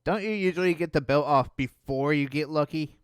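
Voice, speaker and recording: A man hosting a video, riffing on cartoon clips with mocking, deadpan sarcasm. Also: fairly high pitch, brisk, over music. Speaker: video host. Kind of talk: sarcastic riff commentary.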